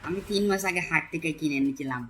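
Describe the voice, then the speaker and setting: A man's voice: fairly high pitch, fast talker, a bit bright, lightly acted. A man voicing a greedy, cowardly village thief in a cartoon, prone to repeat himself nervously. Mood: defensive, bargaining. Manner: stammering, repeating words when nervous. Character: greedy, sneaky village thief Raghu, male, cowardly and pleading. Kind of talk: cartoon voice acting.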